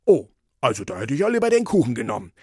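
An actor playing a curious, literal-minded ship's captain in a comic radio dialogue, with a gravelly, husky voice and a naive, nitpicking manner. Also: male voice, medium pitch, huge pitch swings, quick pace, dark tone, dry recording, clean studio sound.

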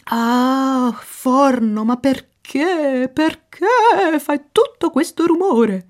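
A woman voicing a fussy, creaky female kitchen window in a children's storybook, talking dramatically and complaining. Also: high-pitched, expressive, very slow, darkish tone, voice pushed up, heavily acted.

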